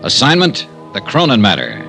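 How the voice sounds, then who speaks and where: An American man acting a brisk, wry insurance investigator in an old-time radio mystery, narrating in a clipped, hardboiled, matter-of-fact way. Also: on the low side, huge pitch swings, pushed and bright, faint music behind, full character voice. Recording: vintage radio broadcast recording.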